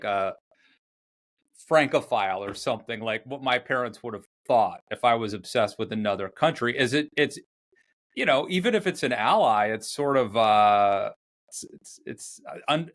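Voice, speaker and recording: A man's voice: mid-range voice, fairly bright tone. An American man hosting a podcast, chatty and jumping in with questions. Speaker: podcast host. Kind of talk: casual conversation.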